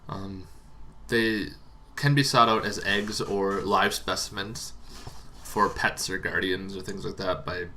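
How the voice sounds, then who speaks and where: A man hosting a tabletop roleplaying show, explaining casually and off the cuff in a rambling, halting way that repeats words. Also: sits low, steady tone, bright, forceful tone, slight background noise. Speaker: host of a tabletop roleplaying monster lore show. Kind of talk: casual unscripted explainer to camera.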